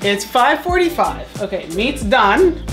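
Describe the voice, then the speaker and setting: A woman's voice: on the high side, very animated, unhurried. A woman in her thirties chatting casually while cooking dinner in a small home kitchen, upbeat and laughing often, recorded on the camera mic with music and clattering dishes behind her. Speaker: vlogger, mom cooking dinner. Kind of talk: casual cooking vlog chat. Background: music and clattering pots and dishes.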